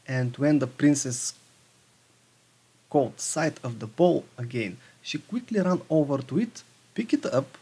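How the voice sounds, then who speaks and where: A man reading a fairy tale aloud for children as a storyteller, halting at times and rereading words. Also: medium pitch, darkish tone, husky.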